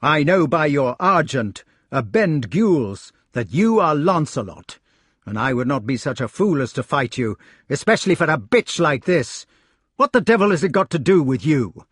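A blustering, scornful male knight, put on by a male narrator, with a raised, forceful delivery. He is a jealous bully of a husband from a storybook, belligerent and quick to bark. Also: fairly high pitch, very animated, husky, dry recording, clean studio sound, pitched above the performer's own voice, full character voice. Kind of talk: audiobook character voice.

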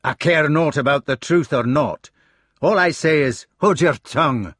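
A man voicing a gruff, burly, aging knight with a hoarse voice and a Scottish accent. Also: mid-range voice, sing-song, measured pace, fairly bright tone, dry recording, studio quality, full character voice. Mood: angry.

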